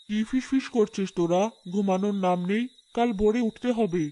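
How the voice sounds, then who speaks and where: A woman's voice: mid-range voice, fairly quick, warm tone, voice pushed down, close to an everyday voice. A woman playing a stern but caring, hard-working poor village elder sister in a cartoon, firm and practical. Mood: scolding. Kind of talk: cartoon fairy-tale dialogue.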